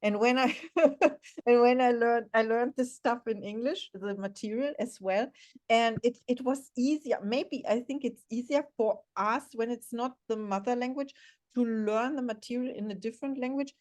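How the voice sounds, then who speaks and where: A woman's voice: fairly high pitch, fairly even intonation, normal speed, darkish tone. Upbeat German-native woman host. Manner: enthusiastic, encouraging. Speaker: podcast host. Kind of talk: podcast interview.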